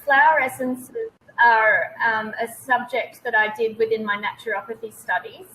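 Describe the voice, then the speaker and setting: An Australian woman in her late thirties speaks with a bright, tense voice, a little higher than most. She talks casually and hesitantly over a video call, and a faint noise sits under her voice. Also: fairly even intonation, moderate pace, poor audio. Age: 30s. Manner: hesitant, many ums. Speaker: guest naturopath. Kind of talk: casual webinar interview.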